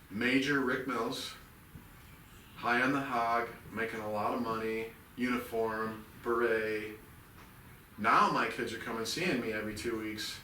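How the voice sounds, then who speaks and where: A man's voice: fairly low pitch, pushed and bright, slight background noise. A middle-aged man telling his story as a podcast guest, earnest and rambling. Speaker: podcast guest, veteran nonprofit founder. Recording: roomy and distant from the mic.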